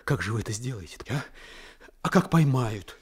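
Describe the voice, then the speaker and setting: An actor playing a humble, anxious peasant prisoner in a radio drama, speaking with a Ukrainian accent and a husky voice. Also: male voice, medium pitch, expressive, moderate pace. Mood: agitated, hopeful. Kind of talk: Soviet radio drama acting.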